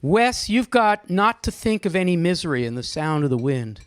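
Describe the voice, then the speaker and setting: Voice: medium pitch, very animated, normal speed, clean tone, dry recording. Informal, teasing event host, dry close sound. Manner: teasing, conversational, calling on people by name. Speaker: host leading a group poem discussion. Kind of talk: informal live moderating, with some poetry reading. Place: indoor room at a live gathering.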